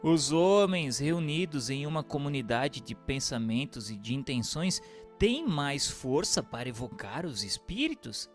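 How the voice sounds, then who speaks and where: A man playing an earnest questioner in a radio drama, putting formal questions in a measured way. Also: fairly high pitch, animated. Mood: earnest, inquiring. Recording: music under the voice. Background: background music.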